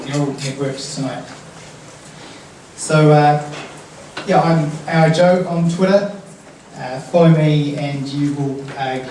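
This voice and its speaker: A man hosting a meetup, introducing the evening informally in a halting, hesitant way. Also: mid-range voice. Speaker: meetup host. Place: indoor event venue in an office building. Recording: echoey room, distant mic, noisy.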